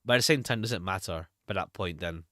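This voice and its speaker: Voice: deep voice, quick pace, sharp tone, no room sound, clean studio sound. A streamer chatting casually and thinking aloud in a hesitant, rambling way during a game read-through. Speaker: streamer reading a visual novel aloud. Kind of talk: casual Let's Play chat and read-aloud. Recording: jazzy game music behind the voice. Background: jazzy retro game music.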